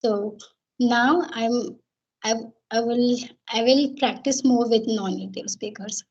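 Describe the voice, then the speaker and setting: Husky, hesitant woman learning English. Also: fairly high pitch, steady tone, takes it slowly, darkish tone, dull audio. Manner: hesitant, many fillers and restarts. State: nervous. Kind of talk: conversation practice.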